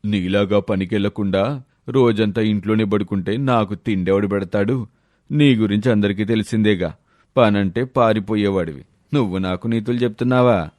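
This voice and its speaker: A man's voice: lowish voice, moderate pace, darkish tone, dry recording, close to an everyday voice. A man playing a hardworking village labourer, blunt and reproachful, in a storybook tale. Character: hardworking village men scolding a lazy neighbour. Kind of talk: storybook character voice.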